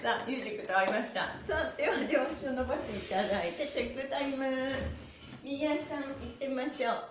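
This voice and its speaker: A woman's voice: high voice, background noise. A middle-aged woman leading a stretch class in a cheerful, chatty and very polite, playful manner. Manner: cheerful, very polite, playfully addressing body parts and devices with honorifics. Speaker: stretch class instructor and livestream host. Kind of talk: chatty exercise instruction with counted chants. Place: small indoor room with bare walls and mat, echoey. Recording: echoey room sound.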